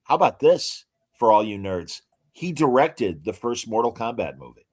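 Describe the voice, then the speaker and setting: A man co-hosting a casual podcast, reading out actor credits with frequent hesitant pauses and showman asides to the audience. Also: medium pitch, big pitch swings. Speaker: podcast co-host.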